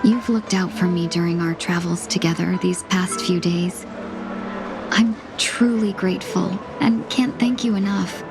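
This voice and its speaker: A woman playing a gentle, elegant young fox woman in a video game, speaking politely and wistfully in measured, formal phrases. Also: lowish voice. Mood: polite, earnest. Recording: loud background music.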